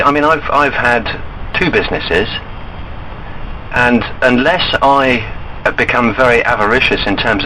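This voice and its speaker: Earnest, insistent man with a bright voice. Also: medium pitch. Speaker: caller to a bank enquiry line. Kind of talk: argumentative phone discussion. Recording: recorded phone call with background noise.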